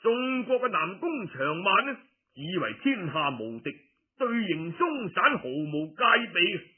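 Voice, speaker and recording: A man's voice: high-pitched, sing-song, a little slow, slightly rough, full character voice. A man with a Cantonese accent voicing a bold, confident nobleman advising his lord on battle in an audiobook, formal and emphatic. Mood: confident, strategic. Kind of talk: audiobook storytelling. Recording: muffled phone-like radio recording.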